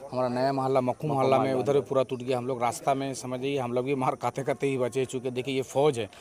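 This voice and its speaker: A man with a medium-pitched voice gives an unscripted street statement. He talks in a colloquial, rambling way, with a crowd clearly audible around him. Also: little pitch movement, quick pace. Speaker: local resident giving a statement.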